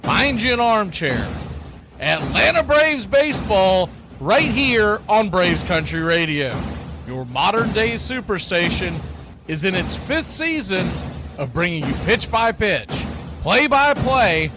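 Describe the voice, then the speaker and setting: High-pitched, animated male baseball radio host. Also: slow. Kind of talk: hearty scripted broadcast welcome and promo. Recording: music under the voice. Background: music.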